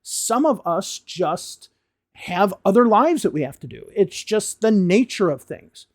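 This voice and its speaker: A young American man speaks straight to his English students in a frank, fired-up tone, hitting words hard for emphasis. His voice sits high for a man. The sound is dry and close, with no room around it. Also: sing-song, measured pace, no room sound. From United States. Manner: frank, impassioned rant with emphatic stresses. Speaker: English teacher. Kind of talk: talking-head video lesson.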